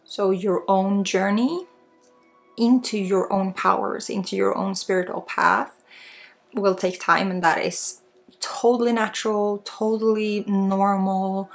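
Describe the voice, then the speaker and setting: A woman from Sweden with a Swedish accent guides a spiritual healing ASMR session in a soft, breathy, informal and halting way, often dropping toward a whisper. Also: mid-range voice, fairly even intonation. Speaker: spiritual guide, energy healer. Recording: soft music under the voice. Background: soft music.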